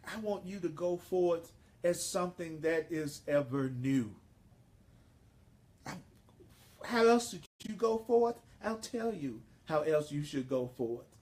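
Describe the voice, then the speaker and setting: Male spiritual teacher, very unhurried and relaxed in tone, sermon delivery, emphatic and repetitive phrasing. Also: high-pitched. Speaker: spiritual teacher leading a course.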